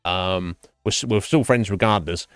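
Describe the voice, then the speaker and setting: A man streaming live and chatting with viewers, thinking his answers through in a halting, thoughtful way. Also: deep voice, dry recording, clean studio sound. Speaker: Twitch streamer.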